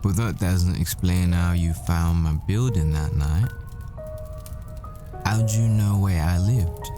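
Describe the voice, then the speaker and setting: Boyfriend roleplay lead, male actor: haunted hitman living as a professor, very deep male voice, measured delivery, intimate and smitten. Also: music in the background. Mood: fond, teasing. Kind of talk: ASMR boyfriend roleplay, dramatic monologue.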